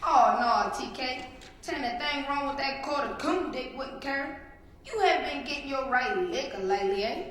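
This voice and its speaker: High-voiced teen girl playing a folksy country doctor, echoey. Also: moderate pace, fairly bright tone. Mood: hearty. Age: teenager. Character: brisk, folksy Southern country doctor, a man. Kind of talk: dramatic reading on stage. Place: public reading in a hall. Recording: very echoey hall, faint noise.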